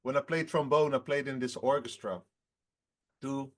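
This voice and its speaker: A singer in his twenties answering interview questions in a relaxed, halting way, often laughing between phrases. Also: mid-range voice. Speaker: rock band singer, guest.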